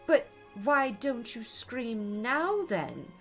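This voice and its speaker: A woman's voice: on the high side, very animated, very slow, soft background music, pitched above the performer's own voice, heavily acted. A woman voicing an earnest, puzzled, polite young girl in a storybook, with a questioning manner. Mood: concerned. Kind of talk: storybook character voice.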